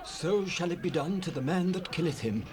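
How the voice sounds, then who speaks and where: An actor playing an excited ancient Israelite soldier, declaiming urgently in a dramatized Bible recording. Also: male voice, fairly high pitch, normal speed, natural voice. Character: excited Israelite soldier spreading alarming news, male. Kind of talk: dramatized Bible audio acting. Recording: faintly noisy cassette rip.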